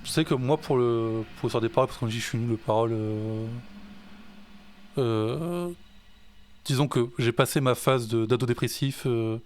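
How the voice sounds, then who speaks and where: A man's voice: mid-range voice, normal speed, a little hiss or hum. A French man, a music teacher and songwriter, chatting in a casual podcast and hesitating with many fillers and restarts.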